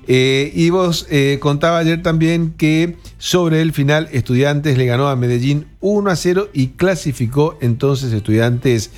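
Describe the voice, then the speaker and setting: A man's voice: a little high, unhurried, hardly any pauses. A man with a Rioplatense Argentine accent, co-hosting a radio show and running through football results in an easy, agreeable way. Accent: Rioplatense Spanish. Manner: agreeable, says "claro" often, lists results. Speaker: sports co-host. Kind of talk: casual sports rundown.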